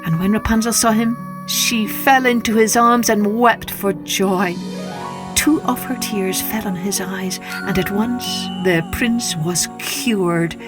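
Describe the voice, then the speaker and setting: Woman narrating children's stories over faint music. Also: medium pitch. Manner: expressive, deliberate storytelling. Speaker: storytelling podcast host and narrator. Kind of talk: scripted children's story narration.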